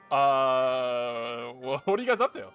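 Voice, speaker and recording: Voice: medium pitch, a bit bright, clear, resonant tone. A streamer giving casual, joking commentary while playing, full of filler and laughter. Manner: chatty, joking, lots of filler "like".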